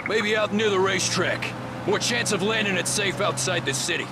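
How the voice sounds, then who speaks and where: A man's voice: sits high, normal speed, lightly acted. A man playing a determined mob getaway driver. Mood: focused. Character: cool-headed male mob getaway driver. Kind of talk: video game cutscene acting. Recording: loud car and street noise.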